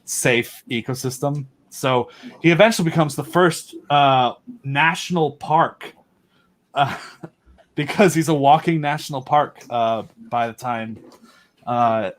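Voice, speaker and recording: A young man chatting on a home podcast mic, eager and rambling as he piles on details between fillers. His pitch sits in an ordinary middle range, and his talk is loose and unscripted. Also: a little slow. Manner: rambling, enthusiastic, filler-heavy. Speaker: podcast guest. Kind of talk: casual chat.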